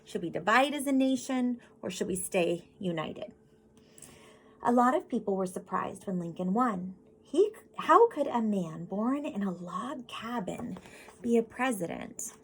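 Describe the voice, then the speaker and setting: A woman's voice: medium pitch, expressive, measured pace, slight background noise. An American woman reads a picture book aloud as a teacher, explaining simply and putting questions directly to children. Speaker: teacher reading to pupils.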